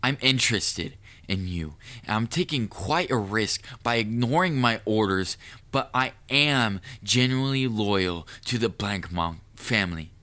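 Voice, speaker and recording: A man playing a proud, cunning, lovestruck assassin in an audio roleplay, speaking formally and deliberately to the listener with quiet menace. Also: on the low side, expressive. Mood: hesitant, defensive. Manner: formal wording, deliberate, measured. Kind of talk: scripted audio roleplay monologue addressed to the listener.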